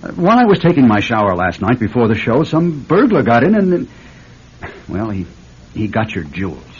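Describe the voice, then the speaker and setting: An actor playing a flustered, evasive husband in a vintage radio drama, hesitant, stammering and polite. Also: male voice, medium pitch, huge pitch swings, a little fast, slightly rough, exaggerated voice. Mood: uneasy explaining. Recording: dull lo-fi classic radio recording.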